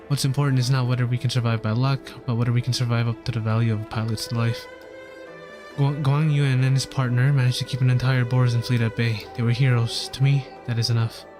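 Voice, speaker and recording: A man streaming a game, reading its story text aloud earnestly and now and then stumbling over words. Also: medium pitch. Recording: game music behind the voice. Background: game music.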